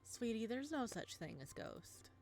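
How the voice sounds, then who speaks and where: Woman playing a young dad, gentle, dry, protective, unhurried, visual novel. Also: a little high, big pitch swings, soft background music. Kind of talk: reading a visual novel aloud.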